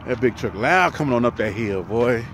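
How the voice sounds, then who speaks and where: A man in his thirties, a truck driver, chatting casually to his viewers in a handheld vlog, outdoors by a highway with the phone at arm's length. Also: animated, moderate pace, a bit bright, a little hiss or hum. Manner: chatty, talks to viewers as "y'all", laughs easily. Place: outdoors in an empty desert town by a highway. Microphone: phone held at arm's length.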